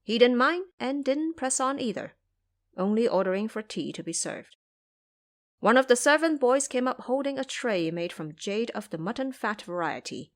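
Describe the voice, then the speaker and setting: A woman's voice: fairly high pitch, animated, moderate pace. A woman reading scripted narration for an audio drama as its storyteller.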